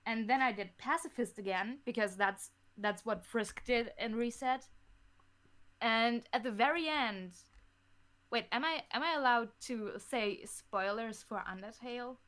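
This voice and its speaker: A woman speaks slowly in a fairly high voice. She chats casually as a guest, with halting, hesitant phrasing and a giggly streak. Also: a bit bright. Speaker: guest writer of a fan audio drama. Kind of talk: casual chat about their own writing.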